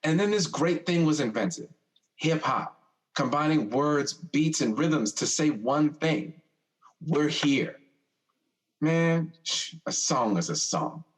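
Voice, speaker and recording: A young man acting in an audio drama as a passionate, teasing, protective older brother with an expressive, streetwise way of talking. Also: fairly high pitch, slow pace, poor audio. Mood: impassioned. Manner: expressive, streetwise, informal storytelling.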